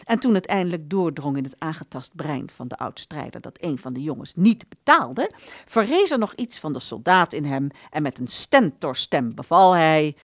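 Low, very expressive woman narrator. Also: normal speed, dry recording, clean studio sound. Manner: expressive storytelling. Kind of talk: scripted literary audio drama narration.

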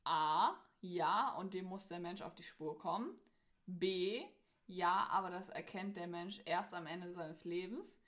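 A woman's voice: fairly low pitch, measured pace, a bit bright. A woman chatting casually and informally as co-host, playing a question card game. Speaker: co-host of a faith podcast.

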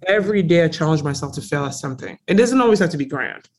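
A young adult woman from Congo, a native French speaker, telling her story as an interview guest in a casual, emphatic and animated way. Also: low voice, fast. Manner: emphatic, animated, frequently stresses words. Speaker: guest entrepreneur.

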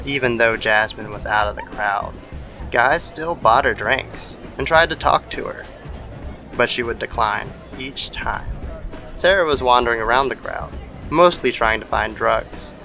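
A man with a medium-pitched voice narrates a scripted horror story. He tells it like an old-time radio show, with clear music running underneath. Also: unhurried, sharp tone, some chatter behind. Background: background music.

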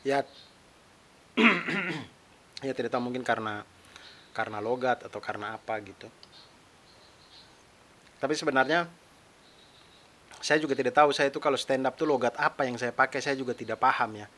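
A man's voice: mid-range voice, normal speed, long pauses, sharp tone. A young adult man from eastern Indonesia with a mixed eastern Indonesian accent, hosting his own podcast in a chatty, self-deprecating solo monologue. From East Nusa Tenggara, Indonesia. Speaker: podcast host, stand-up comedian. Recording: faint hiss.

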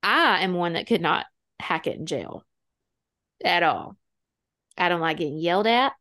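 A woman's voice: on the low side, lively intonation, normal speed, sharp tone. Wry, self-deprecating woman podcaster. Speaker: podcast host. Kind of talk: casual true-crime storytelling chat.

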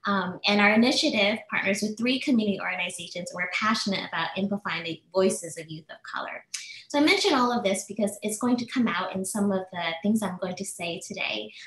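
A woman's voice: medium pitch, fairly quick, a bit bright, roomy sound, rough recording. Earnest woman speaking on a webinar. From United States. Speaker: assistant professor speaking as webinar panelist. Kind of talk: prepared remarks on a webinar. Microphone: video call.

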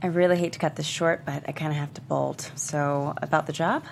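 A woman's voice: deep voice, steady tone, fairly quick, creaky, a little hiss or hum. A woman playing a wry, candid, struggling mother in a script table read. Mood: friendly.

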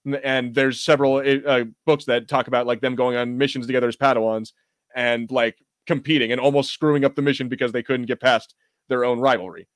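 A man's voice: mid-range voice, fairly even intonation, a little fast, no room sound. A man hosting a podcast, chatting casually about fandom in an enthusiastic, rambling, jokey way. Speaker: podcast host. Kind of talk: casual fan discussion.

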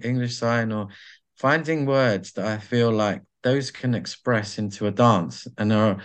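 A man being interviewed, talking in a reflective, halting way with many restarts as he searches for words. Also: medium pitch. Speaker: podcast guest, artist and carer.

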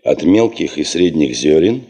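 A self-assured middle-aged male boss of a field expedition in a Soviet radio play. His voice sits low and moves widely in pitch. He probes and insinuates with slow, dry irony. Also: animated, mellow, heavily acted. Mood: deliberate, insistent. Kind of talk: Soviet radio drama acting.

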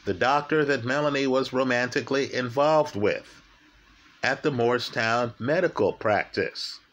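Slow, emphatic male crime commentator. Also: mid-range voice, a bit bright. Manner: slow, emphatic, repeats key facts and asks "right?". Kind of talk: true crime case commentary.

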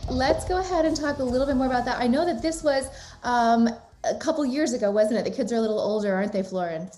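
A woman hosting a casual interview, chatty and quick to laugh, with some filler words. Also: fairly high pitch, warm tone, soft background music. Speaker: interview host.

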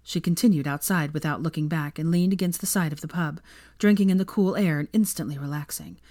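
A woman's voice: low-pitched, brisk, dark tone, no echo, clean studio sound. A woman reading fiction aloud as an audiobook narrator, in scripted storytelling style.

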